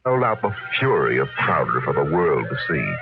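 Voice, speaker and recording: A man's voice: very deep voice, sing-song, normal speed. An American man giving solemn, theatrical scripted narration in the manner of a 1950s radio drama. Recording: dull old radio recording. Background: loud dramatic music.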